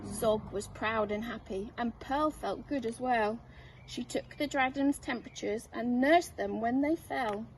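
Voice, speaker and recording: Woman, high voice, slow pace, expressive storybook narration, teacher reading aloud, distant mic. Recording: distant mic, faint noise.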